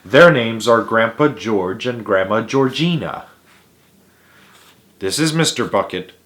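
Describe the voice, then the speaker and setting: A man narrating a children's storybook aloud in a theatrical, emphatic way, with dramatic pauses and stretched words. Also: on the low side, expressive, fairly bright tone. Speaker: host of a read-aloud story series.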